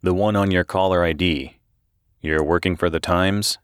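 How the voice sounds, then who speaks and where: A man voicing a grim, guarded detective in an audiobook, speaking quietly, somberly and tersely. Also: low-pitched, fairly even intonation, normal speed, clear, resonant tone, no echo, studio quality, close to an everyday voice. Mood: suspicious. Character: grim, guarded male detective on medical leave. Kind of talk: audiobook character dialogue.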